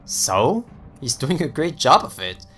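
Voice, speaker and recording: A man playing a young American slacker friend in an audio story, sarcastic, slangy and excitable. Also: medium pitch, a little slow, soft background music. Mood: eager, persuasive. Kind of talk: dramatized audio story dialogue.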